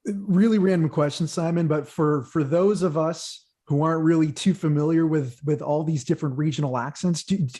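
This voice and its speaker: A man's voice: a little high, moderate pace, hardly any pauses, dark tone. A man hosting an online interview, asking short questions and signing off upbeat. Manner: brief prompts, upbeat sign-off. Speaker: host, interviewer. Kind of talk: YouTube interview and outro.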